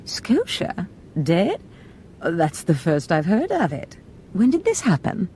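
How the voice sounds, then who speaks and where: A woman playing a smooth, scheming Sith noblewoman in a video game, theatrical, honeyed and polite, with mock innocence. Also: fairly low pitch, huge pitch swings, takes it slowly, darkish tone, a little hiss or hum, heavily acted. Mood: feigned innocence.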